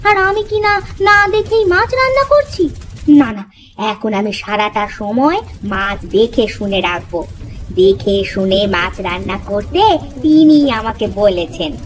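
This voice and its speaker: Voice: mid-range voice, big pitch swings, a little slow. A performer voicing a foolish young village wife in a comic cartoon, innocent, earnest and easily puzzled. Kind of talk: Bengali comic cartoon dialogue.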